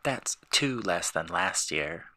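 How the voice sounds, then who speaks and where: A man voicing a spoiled, slow-witted young boy with a halting delivery, in a storybook reading. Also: deep voice, lively intonation, sharp tone, full character voice. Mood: sulky.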